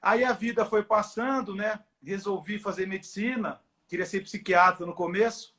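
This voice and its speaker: Middle-aged male doctor as interview guest, inland São Paulo Brazilian accent, high voice, casual and friendly. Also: fairly even intonation, moderate pace, bright, forceful tone. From Ribeirão Preto, São Paulo, Brazil. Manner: friendly, informal. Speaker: guest, football club doctor. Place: at home on a video call.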